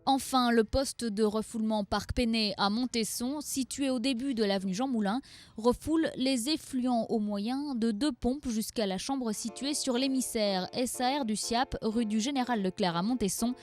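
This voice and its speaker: Female narrator, higher voice, measured factual read of an informational script, clear music bed. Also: a little mellow.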